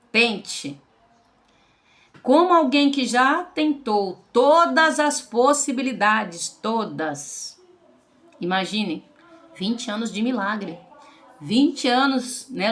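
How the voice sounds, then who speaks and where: A woman's voice: a little high, fairly bright tone. A Brazilian woman in her forties, a pastor, giving an earnest religious testimony to the camera and addressing listeners as brothers and sisters. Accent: Brazilian Portuguese. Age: 40s.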